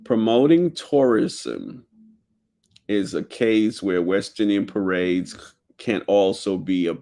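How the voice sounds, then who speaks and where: A man hosting a solo talk show, reading from notes slowly and haltingly, with fillers and self-corrections. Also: fairly low pitch, animated, mellow. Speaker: podcast host.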